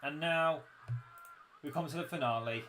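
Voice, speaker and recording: A young man with a fairly high, croaky voice streams a strategy game, chatting easily and poking fun at his own memory. He explains unit buffs in a loose, friendly way into a desk mic, with a faint hiss behind him. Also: moderate pace, a bit bright. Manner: chatty, self-mocking, explains game mechanics. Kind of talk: casual livestream commentary.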